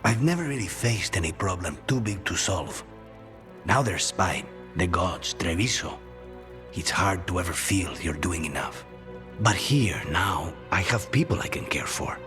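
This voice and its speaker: An actor playing a reserved, sincere assassin in a fantasy game, with an understated delivery. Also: male voice, deep voice, lively intonation, measured pace, over music. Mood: earnest. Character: reserved, earnest male assassin with a soft heart. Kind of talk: game dialogue acting.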